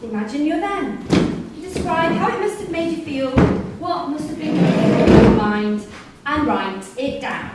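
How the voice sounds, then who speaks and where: A woman's voice: high voice, expressive, a little slow. A woman playing a firm, exasperated prison writing-class teacher in a staged drama reading. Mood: firm, instructing. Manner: instructing, insistent, schoolteacherly. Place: live theatre reading in a pub venue. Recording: echoey hall, distant mic, noisy.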